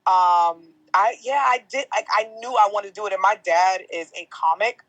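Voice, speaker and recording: A woman's voice: moderate pace, fairly bright tone, no room sound, rough recording. A young woman on a podcast, a chatty entertainment host who laughs often and tells stories in slangy, casual talk. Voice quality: deeper natural voice. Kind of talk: casual interview chat.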